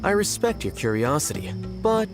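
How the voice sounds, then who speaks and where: An actor playing an aloof, blunt young scholar in a video game, speaking in a dry, matter-of-fact way. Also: male voice, sits high, sing-song, moderate pace, music in the background. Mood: detached. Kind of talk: anime video game voice acting.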